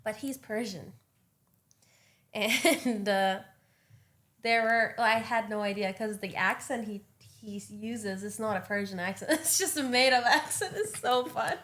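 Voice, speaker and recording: A woman's voice: on the high side, unhurried, a bit bright. Giggly, gushing woman guest. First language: Farsi. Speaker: actress and filmmaker, guest. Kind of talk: casual chat.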